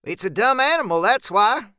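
A man's voice: high voice, expressive, moderate pace, pushed and bright, clean tone, no echo, clean studio sound, voice pushed up, exaggerated voice. A man voicing a snappish, impatient male monster hunter in an audiobook, curt and snapping. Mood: irritated, impatient.

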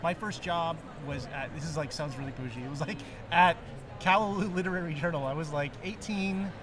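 A man chatting in a rambling, laughing, animated way with lots of fillers as a podcast guest. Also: on the high side, brisk. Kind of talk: casual chat. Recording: crowd noise behind. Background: crowd chatter.

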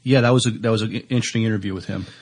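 Guy cohosting a podcast, creaky low voice, just chatting casually. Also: fairly even intonation, rapid-fire. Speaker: podcast co-host. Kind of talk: casual chat.

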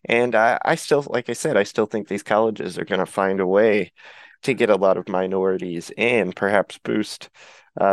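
Low-voiced opinionated male co-host. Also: no echo. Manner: opinionated, frequent uh fillers. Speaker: podcast co-host. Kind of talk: political talk show commentary.

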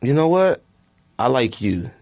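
A man playing a slick, sleazy telemarketing manager with smooth, pushy sales patter in a comic anecdote. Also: medium pitch, sing-song, a little slow, a little mellow, dry recording, clean studio sound. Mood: slick, flattering.

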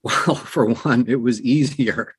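A middle-aged or older man with a medium-pitched voice speaks as a guest in an interview. He tells anecdotes in a casual, conversational way and acts out what people said. The recording is dry, with little room sound. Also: takes it slowly, husky, dry recording. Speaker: interview guest, audiobook narrator.

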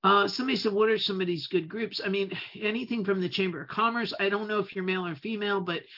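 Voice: medium pitch, fairly even intonation, fast talker. A speaker whose first language is Italian, giving a casual talk on running a web business, stretching out key words for emphasis and often restarting phrases. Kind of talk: casual presentation. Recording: dull lo-fi recording.

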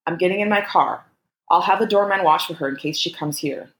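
A woman's voice: lowish voice, brisk, close to an everyday voice. Woman acting an exasperated, take-charge man, echoey. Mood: exasperated, decisive. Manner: urgent, directive. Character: alert, exasperated, dependable male friend on the phone.

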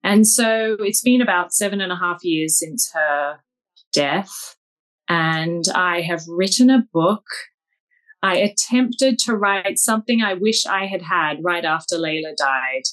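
A woman with an Australian accent, a guest author giving calm, measured, personal answers in an interview. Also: on the low side, poor audio.

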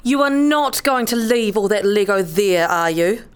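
A woman's voice: medium pitch, animated, a little slow, clear, resonant tone, natural voice. A woman playing a stern mother who speaks in firm, terse, scolding phrases. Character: stern, curt wife and mother of two girls.

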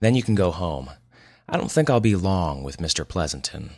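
Casting: male narrator as weary physician, low voice, dry and patient, skeptical, audiobook dialogue. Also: sing-song, normal speed, darkish tone, no room sound, heavily acted.

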